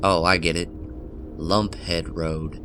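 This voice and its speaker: Man as easygoing young pledge, very deep voice. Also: flat delivery, slow pace, fairly bright tone, soft background music, exaggerated voice. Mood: skeptical. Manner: casual, unbothered. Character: easygoing, skeptical young male fraternity pledge at the wheel. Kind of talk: acted dialogue in an audio horror drama.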